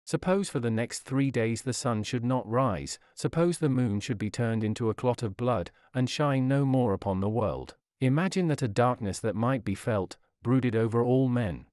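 A man's voice: medium pitch, darkish tone, no echo. Man reading a solemn sermon in a dry studio. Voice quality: solemn, measured, old-fashioned delivery. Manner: earnest exhortation with rhetorical addresses. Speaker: reader of a historical sermon. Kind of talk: read sermon narration.

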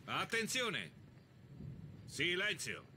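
Stern officer, dynamic intonation. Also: male voice, high-pitched, wildly swooping pitch, drawn-out words, full character voice. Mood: barking. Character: stern, authoritative male WWII army briefing officer. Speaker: briefing officer. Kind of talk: dubbed video game military briefing. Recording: clearly noisy background ambience.

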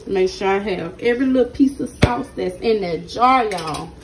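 A woman with a hoarse, partly lost voice, chatting casually to her viewers as she cooks in her home kitchen, recording on a handheld phone. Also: mid-range voice, normal speed, noisy background. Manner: chatty, keeps addressing viewers directly. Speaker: cooking channel host. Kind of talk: casual cooking vlog narration. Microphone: phone held in hand while cooking.

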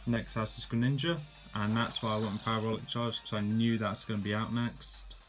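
A man with a low, easygoing voice talks through his moves in a handheld game battle. He narrates plainly, with fillers and the odd self-correction. Quiet game music plays underneath. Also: little pitch movement, a little fast. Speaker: gameplay commentator. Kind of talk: casual play-by-play of a game battle.

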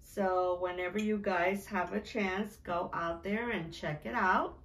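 A woman's voice: medium pitch, fairly even intonation, unhurried. A woman co-hosting a reaction video, chatting casually with her partner. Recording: echoey room with faint background noise.

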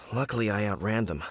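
A man's voice: on the low side, fairly bright tone, slightly rough, no room sound, full character voice. A man voicing a flustered, indignant merchant in a fantasy video game, with dramatic, exasperated delivery. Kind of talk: animated video game voice acting.

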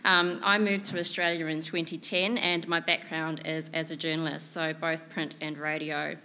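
Woman, 30s, New Zealand accent, conference presentation, lectern mic, bright tone. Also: low-pitched, flat delivery, a little hiss or hum. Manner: clear, measured delivery from notes. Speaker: conference speaker, PR consultant. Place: conference room, indoors. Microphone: lectern microphone.

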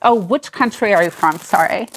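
A middle-aged woman hosting an interview, speaking in a slow, appreciative way. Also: mid-range voice, poor audio. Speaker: host.

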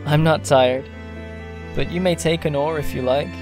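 A man's voice: a little high, steady tone, normal speed, natural voice. Man playing an earnest young suitor, music behind. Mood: easy, courteous. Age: young adult. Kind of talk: period audio drama acting.

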